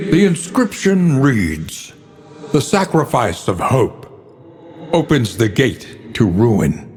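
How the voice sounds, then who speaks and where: Very deep, ominous gothic narrator. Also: male voice, creaky, full character voice. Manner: ominous, solemn, deliberate, ornate phrasing. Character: grave, brooding male narrator of gothic horror game. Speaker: game narrator. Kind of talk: theatrical gothic scripted narration. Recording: long cavernous reverb.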